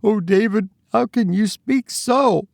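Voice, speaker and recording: A man's voice: fairly high pitch, lively intonation, takes it slowly, vocal fry, darkish tone, dry recording, clean studio sound, pitched above the performer's own voice, full character voice. A man voicing a frightened, tearful wife and mother in a storybook reading, pleading in anxious exclamations. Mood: tearful, reproachful.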